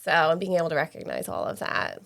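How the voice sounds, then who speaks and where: A woman's voice: deep voice, fast, creaky, a bit bright. A woman, hosting a podcast in a chatty, laughing manner that often trails off into restarts. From Maine, USA. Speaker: podcast host. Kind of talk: casual podcast chat.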